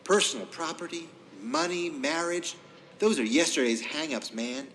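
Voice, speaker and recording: An actor playing a groovy, spacey hippie witness in an audio drama, talking in slangy phrases. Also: male voice, on the high side, moderate pace, a bit bright, slight background noise, natural voice, poor audio. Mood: earnest, spacey. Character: groovy, spacey male hippie giving court testimony.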